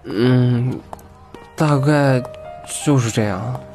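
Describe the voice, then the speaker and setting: Guy doing a shy quiet school boy, slow n halting, audio comic w soft music behind. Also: medium pitch. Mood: bashful. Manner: hesitant, halting. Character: shy, reserved, soft-hearted teenage schoolboy.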